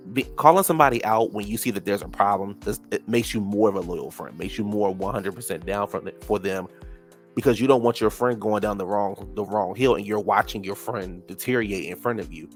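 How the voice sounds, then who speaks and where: A man's voice: fairly low pitch, brisk, faint music behind. A man with a stuffed-up nose giving a chatty, sassy recap of a reality show, calling people "child" and "honey". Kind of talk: casual recap commentary.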